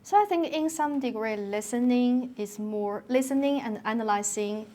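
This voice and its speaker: Woman host, halting speech, slightly high voice. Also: warm tone. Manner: halting phrasing, frequent backchannels. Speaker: podcast host. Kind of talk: conversational interview.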